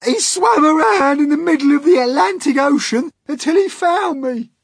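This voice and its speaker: A man's voice: extremely high pitch, animated, moderate pace, no echo, studio quality, voice pushed up. A man voicing a vain, melodramatic centipede in a storybook, gasping and exclaiming shrilly. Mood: breathless relief.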